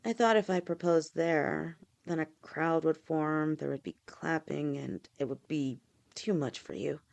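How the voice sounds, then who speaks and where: A woman voicing a gentle, protective snake-woman girlfriend who talks softly and reassuringly to her partner in an audio roleplay. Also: deep voice, unhurried, creaky. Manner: soft, reassuring, speaks directly to a partner.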